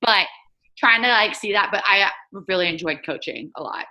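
A woman's voice: mid-range voice, expressive, moderate pace, pushed and bright. A young woman in her twenties, a former soccer player, chatting casually as a guest over a video call, with lots of "like" fillers. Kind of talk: casual remote interview.

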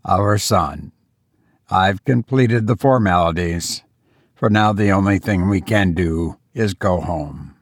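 Man acting a low, creaky, grim grieving doctor. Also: takes it slowly, dry recording, clean studio sound. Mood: numb. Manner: groaning, weary, pleading. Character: grim, horrified doctor, grieving husband and father.

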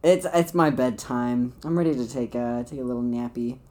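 A man's voice: medium pitch, moderate pace, darkish tone. A man in his early twenties from Chicago, with an American accent, joking casually and a little hesitantly as a podcast co-host. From Chicago, United States. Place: bedroom. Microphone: podcast microphone shared by two.

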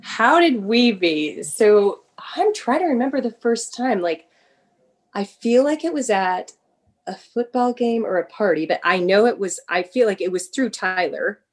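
A woman with an American accent chatting casually as a podcast guest, informal and expressive in how she reacts. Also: mid-range voice, unhurried. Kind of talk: casual chat.

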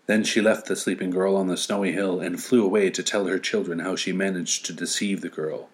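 Low-voiced, wry male storyteller. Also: fairly even intonation, fairly quick. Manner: wry, playful. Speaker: story reader. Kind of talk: storytelling narration with wry asides.

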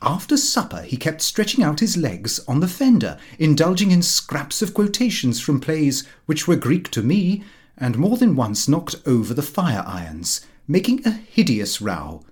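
A man narrating a comic diary in the character of a prim, put-upon, pompous middle-class gentleman. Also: fairly high pitch, sing-song, measured pace. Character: fussy, easily affronted middle-class Victorian gentleman diarist. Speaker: audiobook reader.

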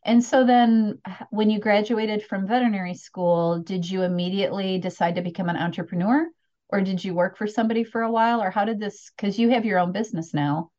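A woman's voice: mid-range voice, fairly even intonation, brisk, a little mellow, muffled recording. A woman hosting an interview podcast, enthusiastic and encouraging as she asks questions. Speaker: podcast host.

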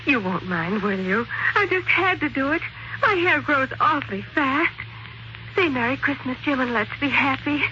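Anxious devoted young wife, really high voice, earnest 1940s radio drama, noisy old recording. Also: female voice, expressive, moderate pace, dull audio. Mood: tender pleading.

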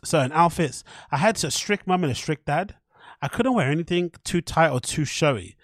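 A man's voice: fairly high pitch, no echo, studio quality. A man with a British accent hosting a podcast, rambling casually in slangy, sweary, irreverent commentary as he reads things out and reacts. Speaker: podcast host.

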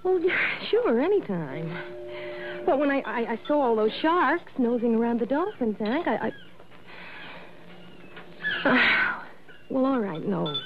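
An actress playing an earnest, evasive young niece in a 1960s radio drama, hesitant and pleading. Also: female voice, on the high side, very animated, slow, pauses often. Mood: nervous, evasive. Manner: hesitant, pleading, stammers when pressed. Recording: noisy, tinny vintage radio recording.

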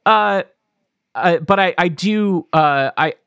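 A man's voice: high voice, slow, gravelly, fairly bright tone, clean tone, no echo, clean studio sound. Wry rambling man in his thirties. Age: 30s. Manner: rambling, stops and restarts, wry. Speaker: podcast co-host. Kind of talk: casual chat.

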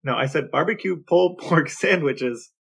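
Husky, slightly creaky American man chatting. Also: fairly high pitch, moderate pace, a bit bright. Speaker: podcast co-host. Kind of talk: casual podcast chat.

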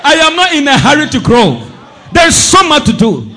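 A man preaching a sermon as pastor, impassioned, swinging between shouted declarations and low asides. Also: huge pitch swings, moderate pace. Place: church service.

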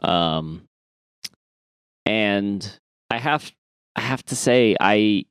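A man co-hosting a podcast in casual comic chat, sometimes sweary and halting as he thinks aloud. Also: lowish voice, lively intonation, fairly bright tone, clean tone, no echo, studio quality. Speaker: podcast co-host. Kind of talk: casual comic chat, reading listener emails.

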